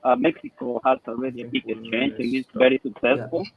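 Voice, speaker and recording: A man's voice: mid-range voice, sing-song, quick pace, vocal fry, narrow, tinny audio. A man from El Salvador on a live team stream, speaking hesitantly and repeating his words. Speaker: project team member.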